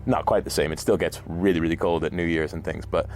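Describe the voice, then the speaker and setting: Thoughtful deep-voiced man from New York. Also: fairly even intonation, a little mellow, soft background music. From New York, United States. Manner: halting, thoughtful. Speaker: actor interviewed about his part. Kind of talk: reflective interview talk.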